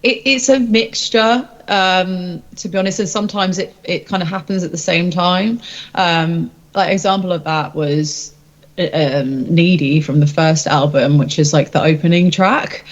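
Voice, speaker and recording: A woman's voice: on the low side, a little slow, highs cut off. A woman, a rock singer-songwriter, chatting casually in an interview in an informal, rambling, self-deprecating way.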